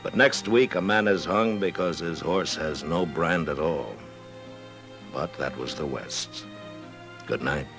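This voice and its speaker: Frontier marshal for radio western: very deep, watchful, laconic, dry, world-weary loner. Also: male voice, slow, music in the background, heavily acted. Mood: grim. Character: watchful, lonely US marshal of the Old West. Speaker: lead actor and narrator. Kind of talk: 1950s radio western acting.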